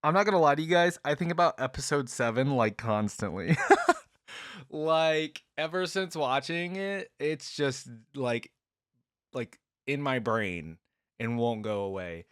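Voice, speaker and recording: A reaction host with a mid-pitched voice, slow-paced but animated. The talk is casual and slangy, aimed at viewers, in a dry close recording with faint music. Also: clean tone, dry recording. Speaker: host reacting to game voice lines.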